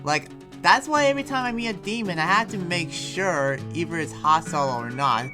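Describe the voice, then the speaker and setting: A man's voice: on the high side, animated, moderate pace, music in the background, lightly acted. A man playing an earnest, troubled demon slayer in an improvised game roleplay, explaining himself in a hesitant, rambling way. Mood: worried, earnest.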